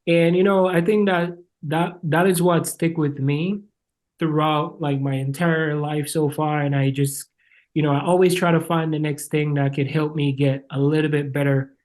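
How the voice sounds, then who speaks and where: A man's voice: a little high, steady tone, normal speed, a little mellow. A man with a Jamaican accent and a somewhat raised voice, speaking as a podcast guest. He tells his story earnestly and conversationally, with many small fillers. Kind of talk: casual interview storytelling.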